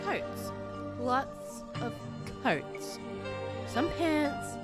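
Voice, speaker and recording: A woman narrating a horror story in a dramatic, suspenseful manner, with deliberate pauses. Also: high voice, lively intonation. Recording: loud music under the voice. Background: prominent background music.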